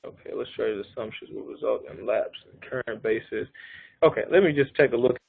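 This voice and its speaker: Thirties male presenter, mid-pitched, patient step-by-step explainer, conference-call phone sound. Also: fairly quick, gravelly, husky, dry recording. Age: 30s. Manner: explains step by step, often checking in with "okay". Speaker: life insurance presenter. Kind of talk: live conference-call walkthrough. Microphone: phone or call line. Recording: narrow phone-line audio.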